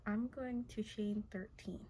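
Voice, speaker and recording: Hoarse young woman teaching crochet. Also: mid-range voice, fairly even intonation, a little slow, slight background noise, rough recording. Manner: casual, says "like" and "okay?" often, counts stitches softly. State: losing her voice, hoarse. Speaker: crochet tutorial maker. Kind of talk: step-by-step crochet tutorial.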